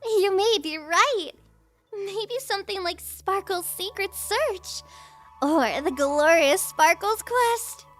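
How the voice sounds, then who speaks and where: A woman's voice: extremely high pitch, lively intonation, faint music behind, heavily acted. A woman playing a playful, taunting young female trickster in an ASMR audio roleplay, with a coy, mock-innocent way of drawing out her words. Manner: teasing, coy, mock-innocent, drawn-out phrasing. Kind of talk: ASMR audio roleplay, scripted character acting.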